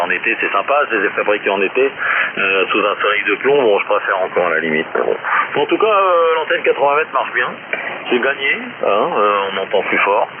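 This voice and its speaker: Man on a narrow, noisy shortwave radio. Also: medium pitch. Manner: informal, self-deprecating, colloquial. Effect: shortwave radio transmission. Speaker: amateur radio operator. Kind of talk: casual chat over ham radio. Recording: narrow-band shortwave radio with clear static.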